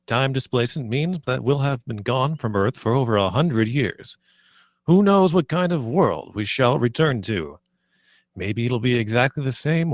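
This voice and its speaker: A man's voice: mid-range voice, dry recording, close to an everyday voice. A man playing a suave, sentimental spaceship captain in his fifties, speechifying grandly at a comedy table read. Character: suave fifty-something male spaceship captain, oddly sentimental.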